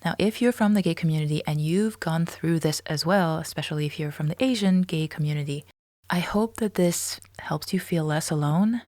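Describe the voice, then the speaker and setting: Female podcast host, lowish voice, reflective direct-to-listener commentary, close and dry recording. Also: normal speed, warm tone, no echo.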